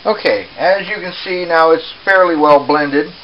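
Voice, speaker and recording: A man presenting a casual home cooking demonstration in an unhurried, explanatory way, recorded in a kitchen. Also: a little high. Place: small home kitchen, indoors. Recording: dull, hissy home camera audio.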